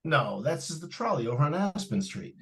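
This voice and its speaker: A man's voice: fairly high pitch, very animated, moderate pace. A man playing a patronizing, skeptical father in an old-time radio drama, with indulgent, slightly stammering delivery. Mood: indulgent, doubtful. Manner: hesitant stammers, indulgent, dismissive. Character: patronizing, skeptical middle-aged father of a young girl. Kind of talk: 1940s radio drama acting.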